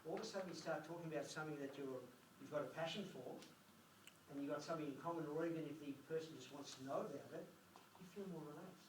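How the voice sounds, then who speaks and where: A recruiter addresses a meeting room, his voice a touch high and animated as he plays out both sides of interview chats. He sounds distant from the microphone in a roomy, echoing space with a soft hiss behind. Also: rapid-fire. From Hungary. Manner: animated, acts out interview dialogue. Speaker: recruiter and presenter. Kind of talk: informal talk to a group. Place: meeting room at a live event. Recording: echoey room from far off, faint noise.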